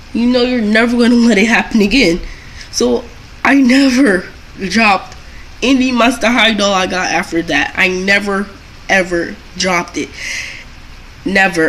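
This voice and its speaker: A woman's voice: medium pitch, a bit bright, a little hiss or hum, low-quality recording. A young adult woman telling a casual, chatty story to viewers, rambling and often circling back to repeat herself. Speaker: doll collector vlogger telling a story.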